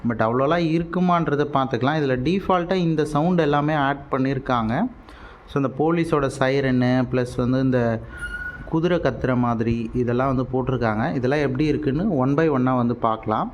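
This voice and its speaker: A man's voice: medium pitch, a little fast, highs cut off. A man with a Tamil Nadu accent explains things casually in an unboxing vlog, dropping English words into his speech. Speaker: product reviewer. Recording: noticeable steady background noise.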